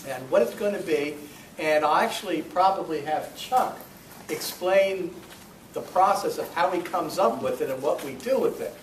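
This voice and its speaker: A man speaking as club president, giving an informal welcome and announcements in a halting, rambling, self-correcting way. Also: on the high side, lively intonation. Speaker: club president hosting a meeting. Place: meeting room, recorded from a distance. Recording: roomy, distant mic, faint noise.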